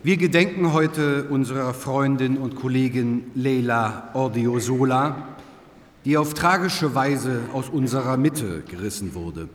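Radio drama role: solemn, stiff small-town official, mid-pitched, measured, in a reverberant hall. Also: male voice. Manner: measured, ceremonious. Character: solemn, self-righteous small-town dignitary. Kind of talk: formal speech in German radio crime drama.

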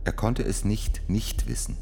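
A man's voice: on the low side, a little slow, creaky, dark tone, breathy edge, heavily acted. A man acting in a radio play as an insistent prosecutor, pressing an accusation with emphatic rhetoric. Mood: insistent, accusing. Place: studio. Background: music.

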